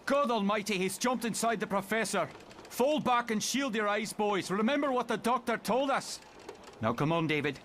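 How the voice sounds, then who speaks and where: A man's voice: high-pitched, lively intonation, moderate pace, a bit bright, slight background noise. A man acting a police sergeant with a Scottish accent in a motion comic. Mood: urgent, firm. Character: gruff Scottish police sergeant, later possessed by a gleeful mutant.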